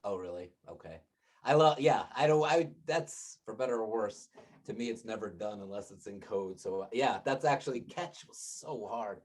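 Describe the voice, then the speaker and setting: Low-voiced man in a casual work call. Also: animated, moderate pace. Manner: rambling, self-interrupting, laughs often. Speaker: meeting participant. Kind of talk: casual work meeting chat. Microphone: laptop speaker mic on video call.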